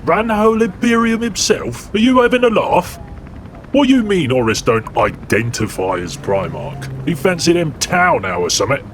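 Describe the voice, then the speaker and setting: A laddish demigod warrior, played by a man, trades crude, blunt banter. His voice leaps around as he mocks. Steady background noise runs under it. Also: on the high side, moderate pace, voice pushed up. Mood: incredulous.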